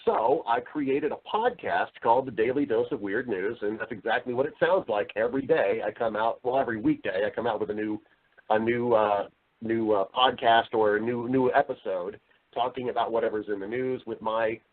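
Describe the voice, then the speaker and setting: A man's voice: fairly low pitch, moderate pace, narrow, tinny audio. A man speaking as a voiceover professional and interview guest, chatty and conversational, with some self-deprecating humor.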